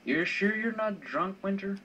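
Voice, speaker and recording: A man's voice: high voice, animated, moderate pace, bright, forceful tone, pitched above the performer's own voice. A man voicing a nonchalant, teasing male drinking companion in an amateur visual novel reading, with casual, goading replies. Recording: dull, slightly noisy home recording.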